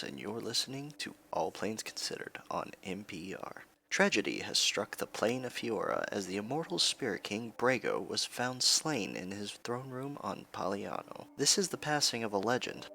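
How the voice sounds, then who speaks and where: A man's voice: mid-range voice, vocal fry, sharp tone, a little hiss or hum. A man playing an earnest news anchor in a fantasy radio news parody, with a polished, presentational delivery.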